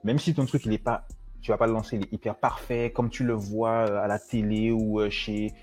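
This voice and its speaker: A man's voice: fairly low pitch, little pitch movement, a little fast, a little mellow, soft background music. A man hosting a podcast in casual, slangy chat, circling back to rephrase and recap as he goes. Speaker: podcast host. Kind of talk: casual chatty interview, slangy.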